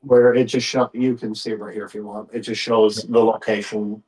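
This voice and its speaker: A man's voice: on the low side, flat delivery, moderate pace, a little mellow, rough recording. A man chatting casually during a tabletop game.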